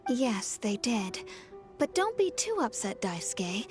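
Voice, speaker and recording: Soothing, fair-minded game woman: slightly high, composed, music behind. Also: very animated, slow pace. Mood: comforting. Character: gentle, fair-minded grown woman comforting a child. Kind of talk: video game voice acting.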